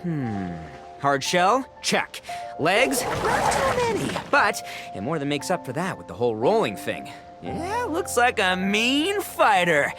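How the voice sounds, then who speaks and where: A man's voice: high-pitched, huge pitch swings, slow, loud music behind the voice, full character voice. A man playing a brash, cocky young oni gang boss in an anime game, bombastic and theatrical in delivery. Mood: cocky appraisal.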